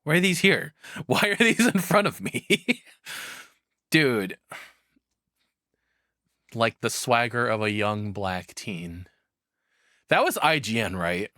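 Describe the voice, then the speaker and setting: A man's voice: medium pitch, big pitch swings, slow pace, long pauses, sharp tone, no room sound, studio quality. A man giving casual reaction commentary as a streamer, rambling along and swearing freely.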